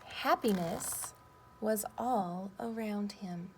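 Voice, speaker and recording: A woman's voice: mid-range voice, takes it slowly, darkish tone. A woman speaking as a teacher, reading a storybook aloud and talking to children. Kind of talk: children's storybook read-aloud and lesson talk. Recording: clear background noise.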